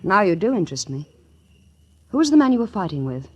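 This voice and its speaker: A woman playing a bold, cool, flirtatious femme fatale in 1940s radio style, husky, arch and self-possessed. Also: medium pitch, sing-song, fast talker, darkish tone, lo-fi sound. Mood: cool challenge.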